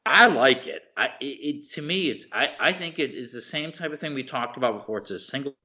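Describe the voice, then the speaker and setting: A man co-hosting a podcast, chatting casually and enthusiastically, halting and searching for words as he rambles. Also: mid-range voice, lively intonation, bright, forceful tone. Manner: informal, enthusiastic. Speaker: podcast co-host. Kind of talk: casual chat about films and TV shows. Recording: slightly dull, lo-fi podcast audio.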